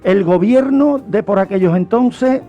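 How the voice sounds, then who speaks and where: A man's voice: high voice, unhurried, warm tone, soft background music. A man giving didactic, emphatic explanations in a radio discussion. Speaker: criminology commentator on a radio show.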